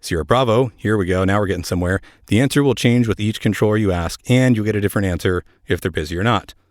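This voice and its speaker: A man with a fairly low voice narrates as a podcast host. His delivery is scripted yet conversational, with wry little asides. The sound is very dry, free of room echo. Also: fast. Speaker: air traffic controller.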